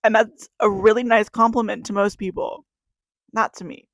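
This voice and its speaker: A woman's voice: mid-range voice, steady tone, moderate pace, no room sound. A 21-year-old woman, crying as she reads a confessional spoken-word poem to camera, with a repeating refrain. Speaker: YouTuber.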